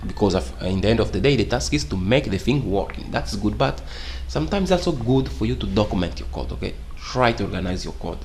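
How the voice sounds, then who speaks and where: Portuguese-native guy teaching code in english, lowish voice, keeps saying okay, faint hiss behind. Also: animated, a little fast, a little mellow. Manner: rambling, repeats "okay?" often, non-native grammar. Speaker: programming teacher. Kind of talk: casual screen-share tutorial.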